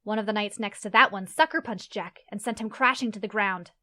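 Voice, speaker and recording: Mid-pitched woman narrating a fantasy story. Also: fairly quick, bright, forceful tone.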